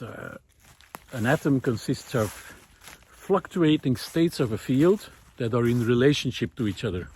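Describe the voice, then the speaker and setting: A man's voice: medium pitch, darkish tone, a little hiss or hum. Musing man thinking aloud on the trail. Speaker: host of a recorded monologue series. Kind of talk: casual unscripted philosophical monologue. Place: outdoors on a woodland trail.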